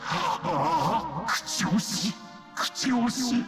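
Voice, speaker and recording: A man voicing the anguished spirit of a fallen king, lamenting slowly in a fantasy game, echoing under music. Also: high-pitched, expressive, a bit bright, heavily acted. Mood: anguished regret. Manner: slow, lamenting. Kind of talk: Japanese fantasy game voice acting.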